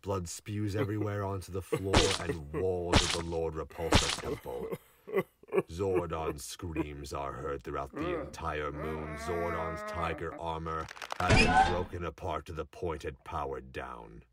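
Very deep-voiced male narrator, distant mic. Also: very animated, a little slow. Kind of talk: scripted audio drama narration. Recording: distant mic with background noise.

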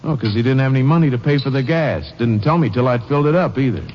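A man's voice: medium pitch, lively intonation, fast talker, big-room sound, lightly acted. Man playing a defensive gas station owner. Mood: explaining. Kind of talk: 1940s radio drama acting. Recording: dull old radio recording with hiss.

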